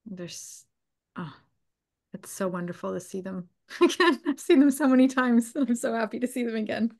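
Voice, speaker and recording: A woman's voice: fairly high pitch, moderate pace, gravelly, darkish tone. A woman co-hosting a live video call in casual chat, speaking hesitantly in trailing phrases. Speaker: writer co-hosting a live online roundtable.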